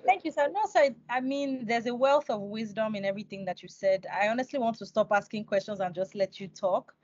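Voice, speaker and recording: A woman's voice: a little high, fairly quick, a little mellow, no room sound. A woman in her thirties with a Nigerian accent, hosting an interview in a respectful, personal, conversational way. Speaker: interview host. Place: indoors, on a video call. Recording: dull, dry video call audio.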